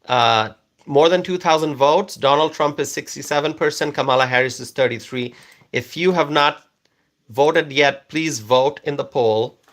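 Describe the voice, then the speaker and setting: A man from Bangladesh whose first language is Bengali, hosting a live stream and reading out viewer comments in a slow, repetitive, coaxing way. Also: fairly high pitch, fairly bright tone.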